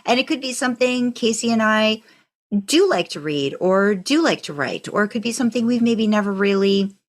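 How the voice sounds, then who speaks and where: A woman's voice: a little high, animated, moderate pace. A woman co-hosting a podcast, talking in a casual, conversational style. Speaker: podcast co-host. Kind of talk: casual chat.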